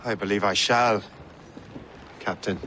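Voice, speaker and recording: An actor playing a plain-spoken, determined and wry Templar sea captain in a video game. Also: male voice, on the low side, fairly even intonation, a little slow, pushed and bright, slight background noise. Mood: dry, resolved. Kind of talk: dramatic video game acting.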